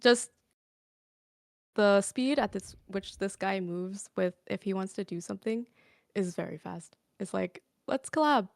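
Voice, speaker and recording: A woman's voice: medium pitch, unhurried, gravelly, no echo, clean studio sound. A woman guest on a casual podcast, chatting and laughing often between phrases.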